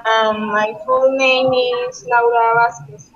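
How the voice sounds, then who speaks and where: A young woman with a Spanish accent, a native Spanish speaker, answers in beginner English. She speaks extremely slowly and hesitantly, with long gaps between words. Her voice is high and bright, and the recording sounds dull and lo-fi. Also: little pitch movement. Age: 20s. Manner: very slow and hesitant, with long pauses. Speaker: student. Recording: dull lo-fi audio.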